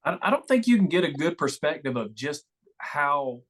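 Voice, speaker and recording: A man's voice: a little high, sing-song, moderate pace, fairly bright tone. A man chatting casually and telling stories as a guest on a podcast. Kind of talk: casual chat, storytelling.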